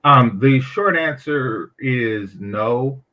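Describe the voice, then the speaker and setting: A man's voice: mid-range voice, animated, very slow, muffled recording. A man speaking as a panel guest, matter-of-fact and sure of himself. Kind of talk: casual panel talk.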